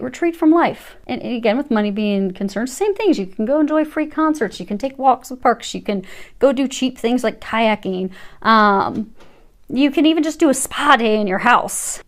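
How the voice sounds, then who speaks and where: A woman giving a casual tarot reading, gentle and reassuring, with frequent fillers and restarts. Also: high-pitched. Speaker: tarot reader.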